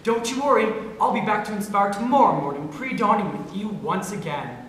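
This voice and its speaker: A man's voice: high-pitched, brisk, a bit bright. A man playing an earnest, hopeful young late-night radio host in a stage play. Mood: rousing, hopeful. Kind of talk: theatrical rhyming radio sign-off. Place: stage play recorded in a hall. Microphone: distant camera or room microphone. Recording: very echoey hall, distant mic, noisy.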